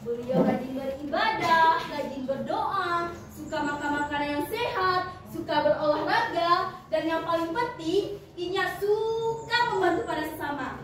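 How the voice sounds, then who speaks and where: A teenage girl from West Sumatra performing a folk tale, lively and dramatic, talking straight to her audience. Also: very high voice, unhurried. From West Sumatra, Indonesia. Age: teen. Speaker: student storyteller. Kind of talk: expressive storytelling performance. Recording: echoey hall, from far off, noisy.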